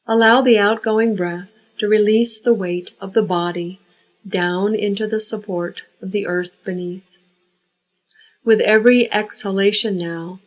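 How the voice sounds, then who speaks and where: A woman leading a guided yoga nidra relaxation, giving unhurried, measured instructions. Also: medium pitch, a little mellow, poor audio.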